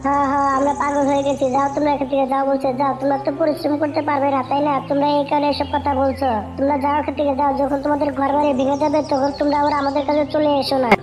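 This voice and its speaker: A woman's voice: high-pitched, completely flat delivery, very fast, hardly any pauses, a little mellow, soft background music, close to an everyday voice. A woman voicing a small, worried, bossy talking bird in cartoon story dialogue, often pressing for agreement. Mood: scolding.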